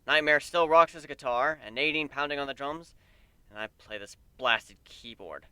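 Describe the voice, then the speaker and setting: A man's voice: mid-range voice, moderate pace, pushed and bright, clear, resonant tone, no room sound. A man giving a dramatic first-person reading of a story as its narrator.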